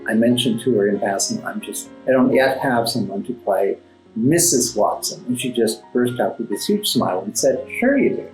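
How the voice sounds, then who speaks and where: An articulate man with a mid-pitched voice talks as an interview guest, choosing formal words and pausing often as he goes. The room adds clear echo, and classical music plays underneath. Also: animated. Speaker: guest playwright. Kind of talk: conversational interview answers. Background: classical background music.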